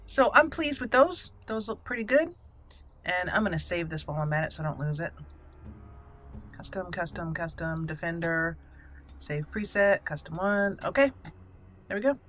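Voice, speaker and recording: A woman with a slightly low voice hosts a gameplay video. She comments casually and tells the story of her roleplay as she goes. She thinks aloud, hesitating between phrases. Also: expressive, normal speed, long pauses, fairly bright tone, slight background noise. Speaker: gameplay host.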